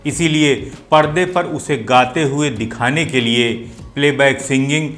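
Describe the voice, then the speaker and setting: A man's voice: mid-range voice, fairly even intonation, unhurried, fairly bright tone. A man hosting and explaining things to viewers in video commentary. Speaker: host of a film music show. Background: music playing behind the voice.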